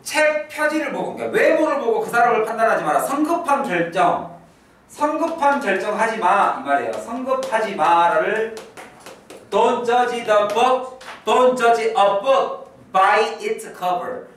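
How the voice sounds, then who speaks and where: A male English teacher giving an exam prep lecture, repeating key phrases slowly and drilling his students. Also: sits high, animated, fairly bright tone, very echoey, distant mic, slight background noise.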